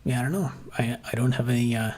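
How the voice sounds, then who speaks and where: Husky-voiced man musing on a livestream. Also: mid-range voice, fairly even intonation, fast, darkish tone. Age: adult, grew up watching 1990s TV. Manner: hesitant, many fillers and restarts, musing. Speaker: livestream host reading chat. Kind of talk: casual rambling livestream chat.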